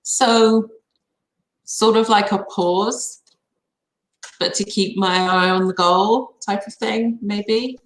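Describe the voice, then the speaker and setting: Woman guest on a livestream, kinda slow and tentative, chatting casually, really roomy sound. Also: mid-range voice, fairly even intonation. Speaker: guest on a live tarot reading. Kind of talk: casual chat.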